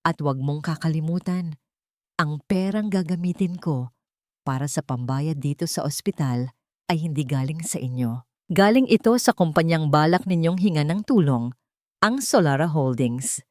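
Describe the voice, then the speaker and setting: A woman's voice: low voice, lively intonation, normal speed, darkish tone, no echo, clean studio sound. A woman voicing a cold, resolute wronged wife who speaks with composure in an audio drama. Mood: cold, dismissive.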